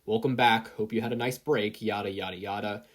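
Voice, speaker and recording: A reader voicing a weary, deadpan male teacher in a story, drawling and grumbling with boredom. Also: mid-range voice, brisk, close to an everyday voice.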